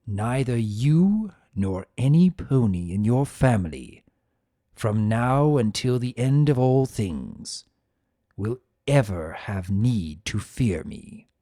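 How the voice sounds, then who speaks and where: An urbane spirit of chaos from a fantasy story, acted by a man, swinging widely in pitch. He is sullen and volatile, drawing words out slowly with theatrical emphasis. The sound is dry and close. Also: expressive, warm tone, dry recording. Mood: solemn, gentle. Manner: theatrical, drawn-out, emphatic delivery. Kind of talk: dramatic fan fiction reading.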